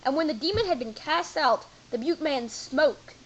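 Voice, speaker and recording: A woman's voice: high-pitched, lively intonation, normal speed, dull audio. A woman narrating scripted Bible stories for an audio drama.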